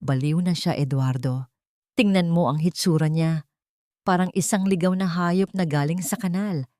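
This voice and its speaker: A woman's voice: on the low side, normal speed, dark tone, no room sound, studio quality, natural voice. Woman voicing a silky, scheming villainess doctor. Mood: coldly persuasive. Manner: smooth, coldly persuasive, poisonous.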